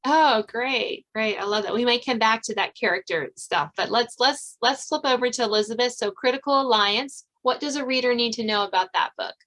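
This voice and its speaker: A woman's voice: fairly high pitch, fairly quick, hardly any pauses, a bit bright. A woman hosting a podcast in casual, chatty interview style. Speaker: podcast host.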